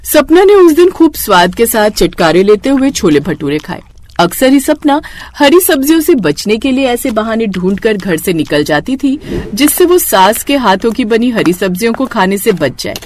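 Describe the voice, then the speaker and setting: A woman's voice: a little high, very animated, moderate pace, a little mellow. A woman narrating a scripted moral story as its storyteller.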